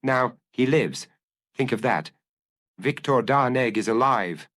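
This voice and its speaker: A man voicing a proud, self-satisfied gentleman thief in an audiobook, boastful and grand in his telling. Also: medium pitch, lively intonation, takes it slowly. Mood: indignant. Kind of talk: audiobook character acting.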